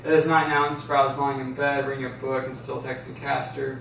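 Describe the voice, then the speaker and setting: A man's voice: mid-range voice, level tone, a little fast, vocal fry, fairly bright tone. A man reading out stage directions as narrator in an amateur table read. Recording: echoey room, distant mic, faint noise.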